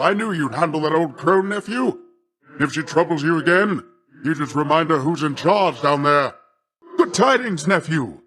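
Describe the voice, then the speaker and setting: An actor playing a boastful, affectionate older uncle who is a sea god, pompous and theatrical, with grand old-fashioned wording, for a video game. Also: male voice, on the high side, a bit bright. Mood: confiding, boastful. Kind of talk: video game character voice acting.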